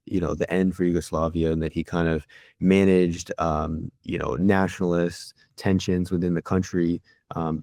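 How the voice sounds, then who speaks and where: A man's voice: deep voice, darkish tone, no echo, studio quality. A young man co-hosting a podcast, asking long, rambling questions with many fillers. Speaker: podcast co-host.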